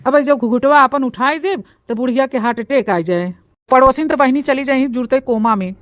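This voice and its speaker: High-voiced scheming village bride played by an actress. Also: female voice, brisk, dry recording, lo-fi sound, natural voice. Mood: panicked, pleading. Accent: eastern Uttar Pradesh. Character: sly rural new bride hiding a secret from in-laws. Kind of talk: comic village story dialogue and monologue in dialect.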